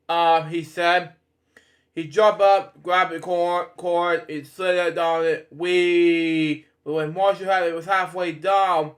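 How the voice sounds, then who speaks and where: A man with a fairly high voice reads a children's story aloud at a slow pace. He stumbles and halts over phrases, drifting into casual, rambling asides as he hosts. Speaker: host reading bedtime stories.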